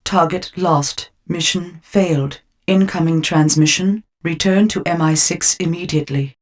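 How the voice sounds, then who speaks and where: An onboard spy-car computer for a video game. Its female voice is very low. It gives terse, impassive alerts and status reports. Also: a little slow. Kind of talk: video game system announcements.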